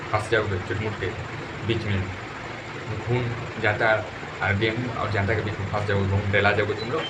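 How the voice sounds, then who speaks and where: Low-voiced Bhojpuri-accented man over background noise. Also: flat delivery, normal speed. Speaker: entertainment gossip news presenter. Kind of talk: informal YouTube news commentary.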